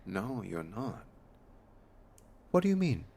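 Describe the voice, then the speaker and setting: An actor playing a withdrawn, brooding pianist in an audio drama, with a husky, creaky voice and a terse, guarded manner. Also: male voice, lowish voice, big pitch swings, takes it slowly, mellow, a little hiss or hum, heavily acted. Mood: defensive, frustrated. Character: withdrawn, brooding male pianist in a strained romance. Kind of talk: naturalistic audio drama acting with inner monologue.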